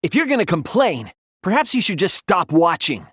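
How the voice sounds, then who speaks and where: Casting: earnest, polite teen boy detective for an anime game, timid yet resolute, very animated intonation, dry studio sound. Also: sits high, normal speed, breathy edge, no echo, full character voice. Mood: firm, defiant. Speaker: video game voice actor. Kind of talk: English anime game dub acting.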